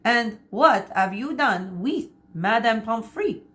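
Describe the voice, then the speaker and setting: A woman's voice: fairly high pitch, lively intonation, slow pace, fairly bright tone. A woman voicing a flamboyant, vain male French hairdresser in a storybook, showy and self-satisfied. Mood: grand.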